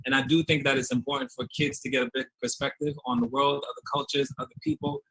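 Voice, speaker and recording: A man's voice: mid-range voice, little pitch movement, fast talker, fairly bright tone, breathy edge, rough recording. A man from Trinidad and New York, a dating show contestant, talking eagerly and upbeat over a video call.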